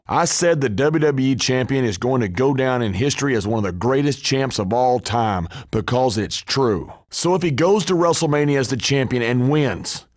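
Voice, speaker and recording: A man's voice: medium pitch, no room sound, studio quality, close to an everyday voice. A man playing a cocky, scheming, trash-talking pro wrestler in scripted video game dialogue, with a brash, emphatic delivery. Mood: self-important, resolute.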